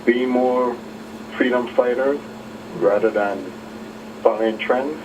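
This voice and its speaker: A man phoning in to a talk show, speaking in a hesitant, halting way. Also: medium pitch, steady tone. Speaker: phone-in caller. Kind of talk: casual, halting call-in remarks. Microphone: telephone. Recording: muffled, noisy, distant phone call.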